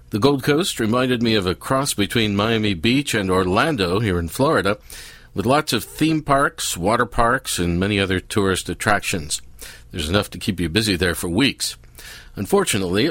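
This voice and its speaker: A man's voice: on the low side, a bit bright, dry recording. A man hosting a radio programme, narrating a scripted travel report. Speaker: radio show host.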